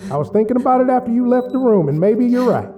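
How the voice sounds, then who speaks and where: Federal official, very high male voice, distant from mic, measured, formal, coercive, audio drama. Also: animated, lo-fi sound, noisy background. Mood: controlled threat. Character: controlled, coercive federal official offering veiled threats. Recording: distant from mic, music behind.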